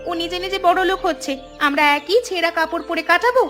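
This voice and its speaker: A woman's voice: extremely high pitch, fast, fairly bright tone, faint music behind. A woman voicing an outspoken, hardworking young village woman in a cartoon, earnest and emphatic. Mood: indignant, resentful. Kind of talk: cartoon dialogue.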